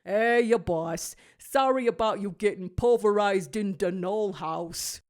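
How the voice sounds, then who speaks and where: A woman's voice: mid-range voice, measured pace, a little mellow, lightly acted. A woman voicing a loyal male walrus crony who sounds like an old man, folksy, slangy and eager, in a cartoon-style fan story.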